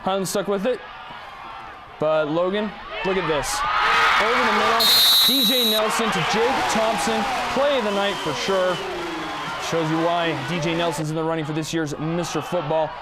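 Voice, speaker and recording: A young American man narrating high school and college sports highlights with an energetic, punchy delivery. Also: moderate pace, a loud crowd, heavy background noise. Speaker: sports reporter intern.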